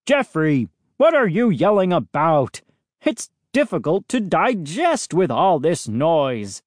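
Narrator doing a big menacing neighbour guy from a storybook, indignant, bellowing, swinging up high. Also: sing-song, slow pace, a little mellow, dry recording, studio quality. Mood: chuckling, mocking. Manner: bellowing, roaring, growling.

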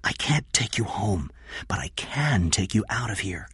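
A man voicing an anxious, determined young woman in an earnest, urgent, hushed manner. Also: lowish voice, sing-song, measured pace, slightly rough, no room sound, studio quality, voice pushed up, full character voice. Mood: urgent whisper.

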